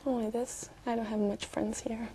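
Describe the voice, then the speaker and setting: A woman's voice: on the high side, flat delivery, normal speed, dark tone, breathy edge. A young woman from Brazil, answering exam questions hesitantly with many fillers and short laughs. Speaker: exam candidate.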